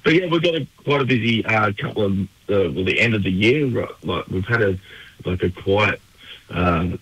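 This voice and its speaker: Deep husky Australian musician. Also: male voice, no echo, dull audio. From New South Wales, Australia. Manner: relaxed, laid-back, many fillers. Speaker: musician guest. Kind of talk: casual radio interview.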